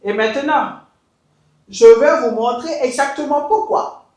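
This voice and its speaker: A woman from Mali, an education consultant, giving an emphatic, deliberate scripted talk straight to her listeners. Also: sits high, darkish tone. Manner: emphatic, deliberate, switches into English. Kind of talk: scripted address to camera. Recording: very echoey room.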